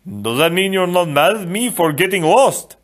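Man as a childlike gentle giant, high and pleading. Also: animated, moderate pace. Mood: anxious, earnest. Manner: pleading, naive, rambling.

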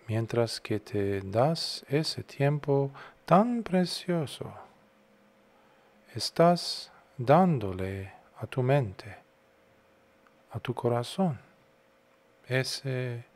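A man guiding a meditation as a monk would, unhurried, in short phrases separated by long pauses. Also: mid-range voice, darkish tone. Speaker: Buddhist monk, meditation guide. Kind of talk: guided meditation talk.

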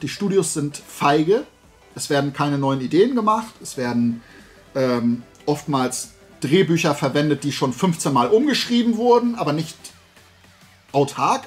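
A man, chatting to camera in a casual, opinionated, rambling and emphatic way as a video host. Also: on the high side. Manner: animated rambling, colloquial, emphatic. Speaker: YouTube host of a toy collecting channel. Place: small indoor room lined with shelves of toys.

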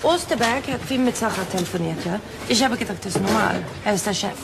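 An actress playing an earnest junior police detective in a German crime radio drama. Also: female voice, mid-range voice, expressive, quick pace, gravelly, heavy background noise.

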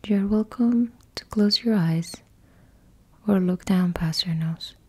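A woman whispering slow, soothing guided yoga nidra instructions into a close mic. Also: mid-range voice, a little mellow, slightly rough. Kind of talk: guided meditation.

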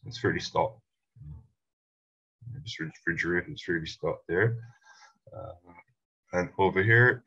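A man teaching a screen-recorded software tutorial, explaining step by step in a relaxed way with fillers. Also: deep voice, highs cut off. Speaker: instructor.

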